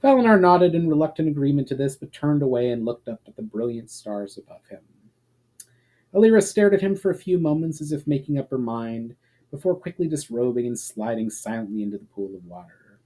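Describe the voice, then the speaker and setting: Man reading fantasy, mellow voice. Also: medium pitch, animated, a little fast, poor audio. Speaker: author reading a novel chapter aloud. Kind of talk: dramatic storybook narration.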